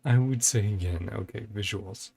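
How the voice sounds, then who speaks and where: A man giving casual reaction commentary as a video host, halting as he thinks aloud. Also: fairly low pitch, vocal fry, a little mellow, slight background noise. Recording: faint music behind the voice. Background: faint music.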